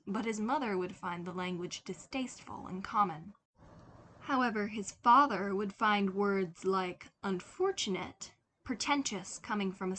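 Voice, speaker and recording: A woman reading a scripted story aloud as an expressive fiction narrator. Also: mid-range voice, slight background noise. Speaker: podfic narrator.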